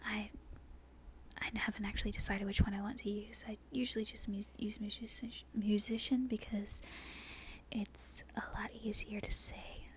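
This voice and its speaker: A woman from Australia with a softened Australian accent, whispering an unscripted ASMR ramble in a halting, breathy way, often correcting herself. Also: medium pitch, flat delivery. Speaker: amateur ASMR video creator. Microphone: non-binaural microphone.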